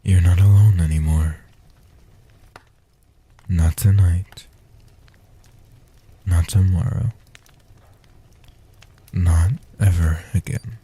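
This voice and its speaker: A gentle, age-old vampire guardian acted by a man speaks softly in a comfort audio roleplay. The voice is low, dark and very breathy, often sinking toward a whisper. It soothes and protects with tender reassurance. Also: fairly even intonation, very slow, long pauses, full character voice. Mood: soothing, protective. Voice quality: breathy, husky, half-whispered.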